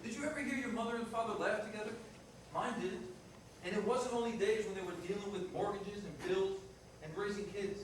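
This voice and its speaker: A man's voice: sits high, fairly quick, natural voice. A man acting a restless, blunt Italian-American ex-fiancé in a staged play reading. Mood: earnest, frustrated. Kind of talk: staged reader's theater dialogue. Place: live staged reading in a reverberant room. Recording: echoey, noisy room, distant mic.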